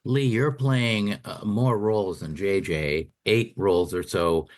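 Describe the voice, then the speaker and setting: A man's voice: lowish voice, fairly even intonation, a little slow, a little mellow, no echo, clean studio sound. A man co-hosting a radio talk show, putting questions to his guests. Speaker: interviewer, co-host.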